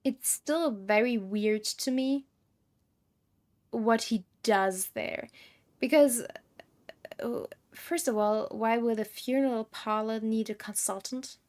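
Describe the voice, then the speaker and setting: A woman's voice: fairly high pitch, slow, darkish tone. A woman game streamer giving casual live commentary, musing and thinking aloud.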